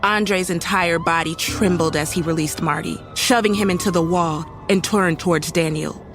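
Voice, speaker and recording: A woman's voice: lowish voice, fairly even intonation, normal speed, close to an everyday voice. A woman performing suspenseful first-person horror narration as an uneasy young woman recounting a horrifying night. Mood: dread. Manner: serious, suspenseful storytelling. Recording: music faintly under the voice.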